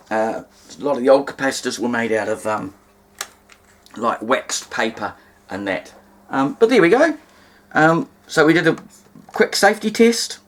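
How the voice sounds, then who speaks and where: A man with a New Zealand accent talks through a demonstration off the cuff, at a middling pitch. He is folksy and a little wry, often hesitating as he goes. It sounds like a home video picked up by the camera nearby. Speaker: hobbyist presenter demonstrating vintage radios. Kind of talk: casual unscripted demonstration. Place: indoors in a cluttered home room.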